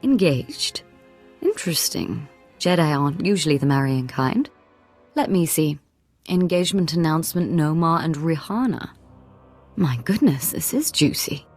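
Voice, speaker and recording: A woman's voice: deep voice, lively intonation, a little slow, a little mellow, soft background music. An actress playing a scheming, gossip-loving noblewoman in a sci-fi video game, polished and conspiratorial. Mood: intrigued, gossipy.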